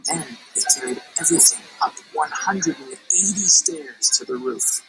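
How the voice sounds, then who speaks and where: Teacher lady reading a picture book out loud, slows down for drama, music under her. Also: low-pitched, low-quality recording. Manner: expressive, slows for dramatic phrases. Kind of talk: storybook read-aloud.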